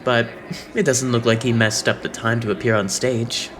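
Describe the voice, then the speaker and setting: A man's voice: medium pitch, flat delivery, moderate pace, fairly bright tone, slight background noise, natural voice. A man voicing a suave, slyly playful young idol leader in an anime fandub, composed and teasing.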